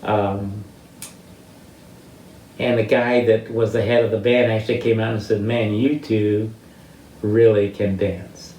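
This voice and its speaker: Slow elderly American man, roomy distant recording. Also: on the low side, little pitch movement, dark tone. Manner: halting, reflective, searching for words. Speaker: interviewee sharing life stories. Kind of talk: reminiscing personal storytelling. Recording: roomy, distant mic with faint noise.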